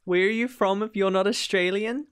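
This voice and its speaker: Playful high-voiced New streamer. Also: male voice, steady tone, normal speed, a bit bright, clean tone. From New Zealand. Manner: playful, jumps to high squeaky protests when teased. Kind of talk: casual game stream chat.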